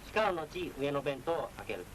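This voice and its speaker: A man giving a technical military lecture as a naval instructor, measured, formal and authoritative. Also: fairly high pitch, sing-song, a bit bright. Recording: noisy 1940s film soundtrack.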